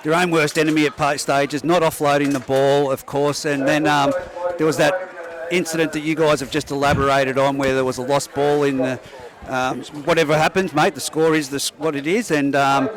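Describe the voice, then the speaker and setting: An Australian man commentating after a rugby league match, halting speech full of ums. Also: a little high, level tone, crowd noise. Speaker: sports commentator. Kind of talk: post-match commentary chat.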